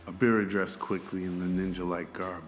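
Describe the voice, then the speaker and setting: A man's voice: deep voice, little pitch movement, normal speed. A man reading scripted narration for a radio-style audio drama.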